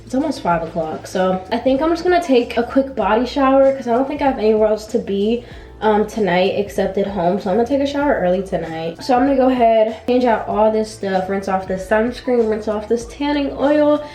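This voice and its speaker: A woman's voice: a little high, lively intonation, brisk, warm tone. A young woman in her late teens, a college student and influencer, talking casually to her camera in a rambling, playful way from her car and home. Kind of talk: casual vlog talk to camera. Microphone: camera mounted in a car.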